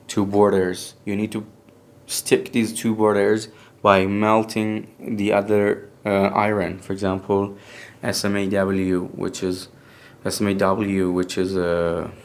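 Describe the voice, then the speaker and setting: A young man from Iran with an Iranian accent, speaking English as a second language with a native Persian background, telling a personal story in a casual, halting way full of restarts. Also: fairly low pitch. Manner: halting, hesitant English with many restarts and fillers. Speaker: podcast speaker.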